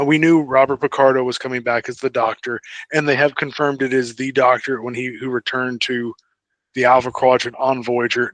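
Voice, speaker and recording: A man co-hosting a podcast in casual fan chat, thinking aloud in a halting way. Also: medium pitch, fairly bright tone, dry recording, poor audio. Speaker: podcast co-host.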